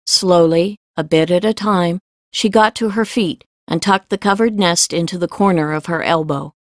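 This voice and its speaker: A woman reading scripted fiction as an audiobook narrator, with measured storytelling delivery. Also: fairly low pitch, no echo, clean studio sound.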